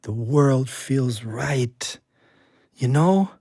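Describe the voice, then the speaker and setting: A man playing a cocky, loving ex-convict in an audio drama, with an expressive, drawling delivery. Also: medium pitch, drawn-out words, slightly rough, dry recording, studio quality, heavily acted. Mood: tender, dreamy. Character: cocky, bawdy, loving man home from jail.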